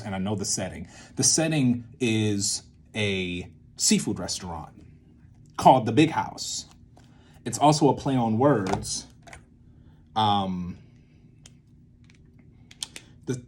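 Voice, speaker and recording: A man with a fairly low voice talks through an informal lesson as he works it out aloud. He rambles, interrupting and correcting himself as he goes. There is some ambient noise in the recording behind him. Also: a little mellow. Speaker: creative methods teacher and playwright. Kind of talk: informal teaching at a whiteboard, thinking aloud.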